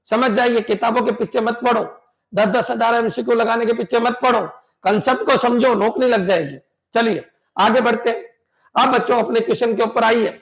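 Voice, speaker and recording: A man teaching a computer science exam class, repeating points and quizzing his students with "clear?" as he drills them. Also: extremely high pitch, steady tone, moderate pace. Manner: emphatic, pushes exam tips and motivation.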